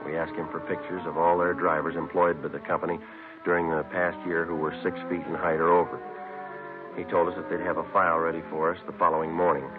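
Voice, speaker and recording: An actor playing a businesslike police sergeant in a radio drama, narrating his case in a deadpan, factual way. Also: deep voice, fast, close to an everyday voice. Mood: matter-of-fact. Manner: deadpan, matter-of-fact. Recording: muffled narrow-band old radio, music behind.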